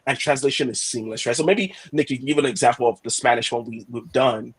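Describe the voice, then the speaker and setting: A man talks quickly through a software demo, with a slightly husky voice. He keeps checking in with his listeners, adding "right?" between points, and thinks aloud with the odd "um". His first languages include Yoruba. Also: medium pitch. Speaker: product presenter. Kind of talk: product demo webinar talk.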